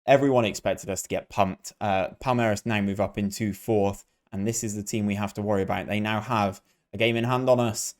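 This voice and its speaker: A man's voice: lowish voice, steady tone, a little fast. A man hosting a gaming video, giving casual, chatty play-by-play and talking directly to his viewers. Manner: chatty, addresses viewers as guys.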